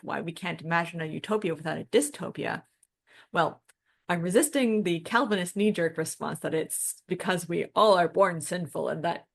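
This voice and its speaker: A woman's voice: lowish voice, vocal fry. A woman co-hosting a discussion podcast, talking through ideas in a reflective, wordy and self-deprecating way. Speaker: podcast co-host.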